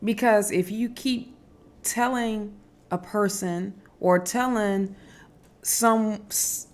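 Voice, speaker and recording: Slow-talking woman giving spiritual advice. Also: medium pitch, steady tone. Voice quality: strong, loud voice. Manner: unhurried, repetitive, emphatic, with fillers and restarts. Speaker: spiritual wellness host. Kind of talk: casual spiritual advice talk.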